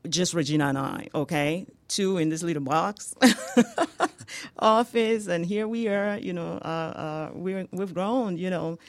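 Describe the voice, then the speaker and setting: A woman's voice: lowish voice, slow pace, dry recording. A nigerian woman business owner, chatty and laughing a lot, lots of "you know", dry close sound. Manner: warm, laughing often, many "you know" fillers. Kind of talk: radio interview answers.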